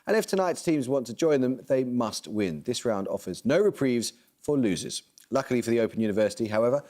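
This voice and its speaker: Lively-voiced male quiz host. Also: mid-range voice. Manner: crisp, measured question delivery. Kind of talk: reading quiz questions in a studio.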